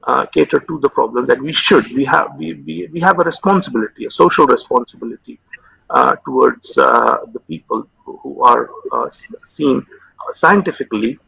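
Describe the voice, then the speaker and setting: A man in his thirties, a psychiatrist whose first language is Urdu, speaking haltingly with many fillers and repeated words in an interview over a video call. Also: medium pitch, vocal fry, bright, forceful tone, slightly rough, no echo. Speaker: consultant psychiatrist guest. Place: home room with window. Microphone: video call webcam. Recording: dull lo-fi video call audio.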